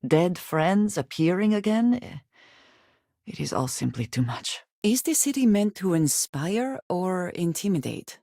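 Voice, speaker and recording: An actress playing a guarded, earnest female companion in a space adventure video game, with formal, measured diction. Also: lowish voice, very animated, mellow, no echo. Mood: serious, earnest. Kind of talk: scripted video game character dialogue. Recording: very dry, close studio recording.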